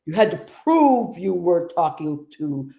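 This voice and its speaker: A woman hosting a conversation, a medium telling stories in a relaxed, discursive way. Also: lowish voice, a little slow, vocal fry. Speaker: host and trance medium. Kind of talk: casual conversation.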